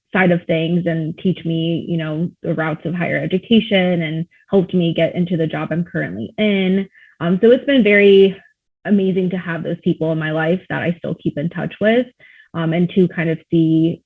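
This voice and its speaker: A man answering as a panelist in a discussion, earnest and filling pauses with "um". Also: high-pitched. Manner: earnest, many fillers. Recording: tinny phone-like line.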